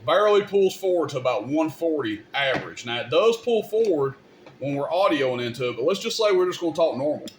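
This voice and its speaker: Higher-voiced man giving a casual demo, noisy background. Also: expressive, fairly quick. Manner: informal, folksy, addressing viewers directly. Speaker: video host demonstrating CB radio gear. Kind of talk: casual hands-on technical demonstration.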